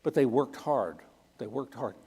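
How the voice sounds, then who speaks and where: A man's voice: medium pitch, lively intonation, a little slow, mellow, husky. Folksy man in a reverberant hall. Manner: folksy, keeps asking "okay?". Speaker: missionary speaker. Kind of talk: Sunday school talk. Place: church hall. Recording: long reverb of a large hall.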